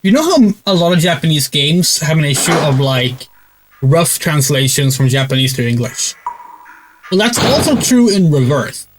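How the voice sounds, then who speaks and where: A man's voice: on the high side, sing-song, moderate pace, noisy background. Rambling man commenting on gameplay. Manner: rambling, swears freely, many fillers. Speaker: streamer. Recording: loud game music behind the voice.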